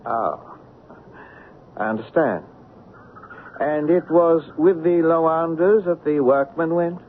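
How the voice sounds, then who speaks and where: An actor playing an imperious, cynical colonial company director with a pompous, condescending manner in a 1970s radio drama. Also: male voice, on the high side, slow, phone-quality sound. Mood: sly, pleased. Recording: thin, faintly noisy old radio broadcast.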